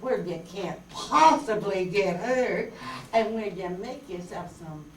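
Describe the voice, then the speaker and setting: A woman's voice: medium pitch, animated, slow. A woman acting a coy, scheming Southern blonde femme fatale, coaxing and sugary, in an old radio noir play. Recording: echoey room, distant mic, background noise.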